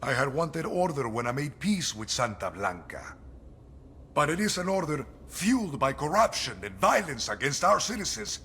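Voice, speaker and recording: A regretful but principled general in a video game. His speech is formal and grave, with an expressive pitch range and a hard, bright edge over faint ambience. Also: normal speed, slight background noise, heavily acted. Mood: regretful, resolute. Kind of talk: video game cutscene acting.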